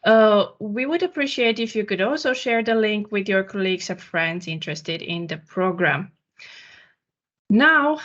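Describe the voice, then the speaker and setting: A woman's voice: medium pitch, a bit bright. A woman hosting an online information session in a polite, formal manner, speaking over a video call. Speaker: webinar host. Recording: dull, muffled online call audio.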